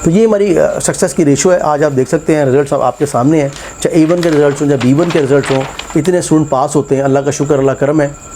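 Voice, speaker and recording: A man explains test preparation at a quick clip, asking his own questions and answering them. His voice sits a little high but sounds mellow. A faint hum of noise runs behind him. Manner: animated, mixes English phrases into speech, rhetorical questions. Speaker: English test tutor and institute head. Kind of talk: casual classroom interview and explanation.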